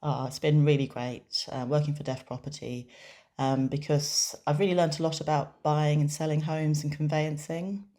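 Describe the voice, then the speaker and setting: A woman interpreting a deaf guest's answers in the first person, measured, with frequent pauses and restarts. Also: sits low, level tone, dark tone.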